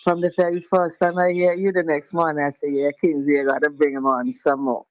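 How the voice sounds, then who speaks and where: A woman's voice: low-pitched, little pitch movement, a little fast, darkish tone, no room sound, dull audio. A woman phoning a radio show, chatting in a casual, familiar way. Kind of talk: casual phone-in chat. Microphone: phone call.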